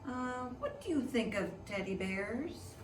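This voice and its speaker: Woman as an anxious storybook boy, slow and animated. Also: a little high, slight background noise, pitched above the performer's own voice. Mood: worried. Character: anxious young boy narrator of a picture book. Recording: echoey room, distant mic.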